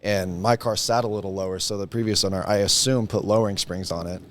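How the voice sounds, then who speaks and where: A young man chatting casually as a guest, explaining things in a halting way. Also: fairly low pitch, fairly even intonation. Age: young adult. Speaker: guest, high school senior. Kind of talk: casual chat. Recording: faint background noise.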